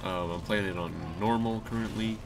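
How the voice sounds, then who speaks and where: A man's voice: fairly low pitch, fairly even intonation, moderate pace. A young man with a cold, sounding nasal and stuffy, chatting casually over gameplay with lots of ums and restarts. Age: young adult. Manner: rambling, with many fillers and restarts. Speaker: YouTube gamer. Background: game music and sounds.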